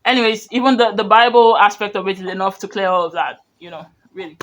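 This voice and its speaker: High-voiced man. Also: little pitch movement, quick pace, fairly bright tone. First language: Yoruba. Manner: rambling, earnest, full of "you know" and restarts. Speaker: media commentator. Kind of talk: reaction commentary on video clips.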